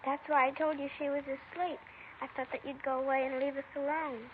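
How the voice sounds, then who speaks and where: A woman's voice: high-pitched, little pitch movement, a little fast, close to an everyday voice. A woman in 1950s radio acting voicing a frightened, defensive young girl who answers in a guarded, insistent way. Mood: plaintive. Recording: dull old radio broadcast with hiss.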